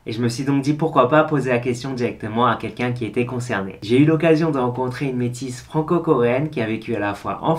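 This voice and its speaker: A man hosting, narrating a calm, explanatory voice-over. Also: mid-range voice. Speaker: host.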